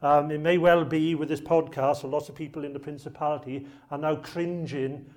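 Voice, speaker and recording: A man's voice: a little high, fairly even intonation, normal speed, a little mellow. Welsh guy giving a talk in a lecture room, jokey and chatty with the crowd. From South Wales. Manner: chatty and jokey, with audience banter. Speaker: archive lecturer. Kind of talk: public talk to a live audience.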